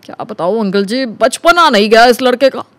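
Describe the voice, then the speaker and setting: Man acting a high-pitched, glib young schemer. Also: lively intonation, moderate pace, dry recording. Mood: smooth, ingratiating. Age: young adult. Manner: glib, colloquial, teasing. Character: cunning, smooth-talking young man scheming against his flatmate. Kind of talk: Hindi animated story dialogue.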